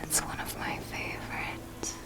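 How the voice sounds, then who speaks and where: A woman playing a seductive, possessive vampire mentor in an ASMR roleplay, whispering in a formal, coaxing, deliberate manner with elegant menace. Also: close to an everyday voice. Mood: seductive, teasing. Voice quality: breathy, whispered.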